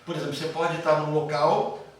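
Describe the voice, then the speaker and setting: A man's voice: on the high side, flat delivery, brisk. A man with a Brazilian Portuguese accent teaching a class in a didactic way, posing questions and answering them himself. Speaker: psychoanalysis teacher. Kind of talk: classroom lecture with questions to students. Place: classroom with students. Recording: very echoey room, distant mic, faint noise.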